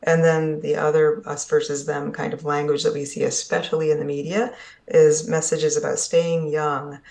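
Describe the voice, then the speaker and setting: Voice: mid-range voice, flat delivery, normal speed, gravelly, a little mellow. A conference presenter giving an earnest, explanatory talk on ageism, often checking in with the audience by asking "right?". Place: summit session at an events complex.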